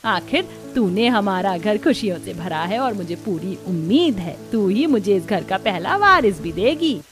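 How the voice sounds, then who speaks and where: A woman's voice: mid-range voice, lively intonation, measured pace, faint music behind, lightly acted. A woman voicing a doting, scheming older mother-in-law in a scripted cartoon, fawning and scornful by turns.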